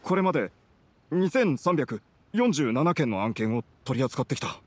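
An actor playing an awkward, meticulous male civil servant in a Japanese video game, halting and pedantic. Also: medium pitch, big pitch swings, a little mellow. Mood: stiff, awkward. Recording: music behind the voice.

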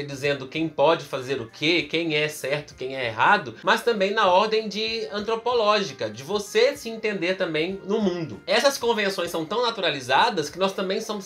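A man in his thirties from Brazil, explaining a philosophy text to camera step by step, recorded close up in a room with bookshelves. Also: fairly high pitch. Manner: expressive, explains step by step with examples. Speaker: philosophy teacher. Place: indoor room in front of bookshelves. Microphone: camera close up, facing the lens.

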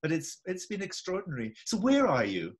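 A man's voice: high voice, wildly swooping pitch, fairly quick. An older man with a Scottish accent interviewing a guest over a video call from home, musing and repeating himself as he thinks aloud. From Scotland. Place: home room indoors.

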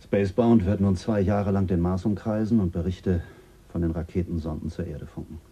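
An actor playing a calm, principled male psychologist in a German radio drama, speaking in a measured, earnest way. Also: sits low, breathy edge.

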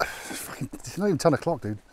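A man's voice: a little high, big pitch swings, a little fast, whispered. Joking man outdoors. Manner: joking, swears freely. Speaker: vlogger. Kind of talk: casual banter on a hike. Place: outdoors in a riverside wood. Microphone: handheld action camera. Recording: background guitar music.

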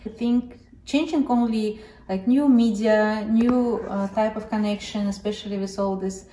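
A woman who teaches piano, speaking in a halting, proud way in a documentary interview. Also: mid-range voice, fairly even intonation, dark tone, a little hiss or hum. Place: indoor home room by a piano. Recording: echoey room.

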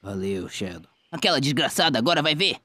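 Man voicing an exasperated, animated Brazilian hedgehog hero. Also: medium pitch, a little fast. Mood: pleading. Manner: whiny, sweary, sarcastic. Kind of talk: Brazilian fan parody dub.

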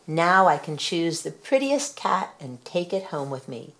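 Mild, earnest elderly storybook man, exclamatory and animated, read by a woman. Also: on the low side, measured pace, heavily acted. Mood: delighted. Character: mild, eager very old man, cat lover. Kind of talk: storybook character voice.

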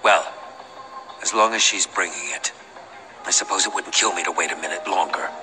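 A man's voice: sits low, normal speed, over music, close to an everyday voice, poor audio. An actor playing a suspicious, haughty man in a video game, with a curt, imperious and disdainful manner. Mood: curt, impatient. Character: suspicious, haughty bearded man making a shady deal.

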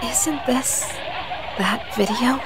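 A woman voicing an earnest, shaken schoolgirl in an anime game, hushed and emotional. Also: on the high side, extremely slow, very noisy. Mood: stunned.

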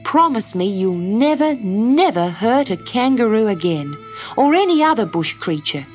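A woman's voice: fairly high pitch, big pitch swings, unhurried, faint music behind, pitched above the performer's own voice. A woman voicing an earnest little girl in a storybook.

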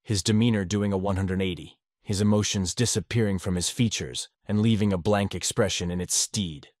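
A man narrating a fanfiction audiobook with a steady, measured reading. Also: fairly low pitch, no echo, studio quality.

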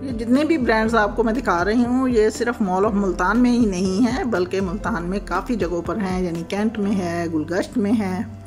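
Female vlogger, mid-range voice, warm and chatty narration over background music. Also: mid-range voice, brisk. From Pakistan. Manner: friendly, chatty, guiding the viewer along. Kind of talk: voice-over mall tour narration.

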